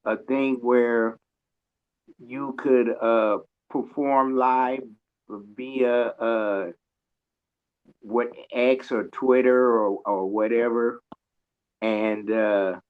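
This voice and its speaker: Man, very slow halting speech, phone-like dull sound, casual. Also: medium pitch, steady tone. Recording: dull lo-fi call audio.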